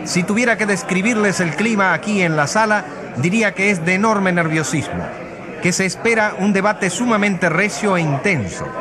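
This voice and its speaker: An actor playing an earnest radio reporter giving suspenseful, articulate live commentary in a courtroom drama. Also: male voice, high-pitched, moderate pace, lightly acted. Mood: urgent, earnest. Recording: busy crowd behind the voice.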